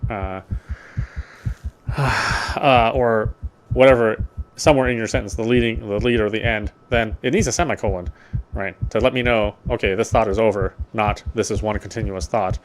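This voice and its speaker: A man's voice: on the low side, normal speed, slight background noise. A man streaming a game, reading its text aloud and commenting casually as a player.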